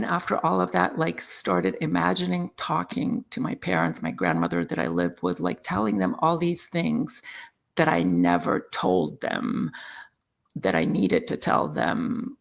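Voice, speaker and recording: Gravelly, animated man. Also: a little high. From Serbia. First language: Serbian. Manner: halting, many fillers and restarts. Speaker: guest, sound healing practitioner. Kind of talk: personal storytelling in conversation.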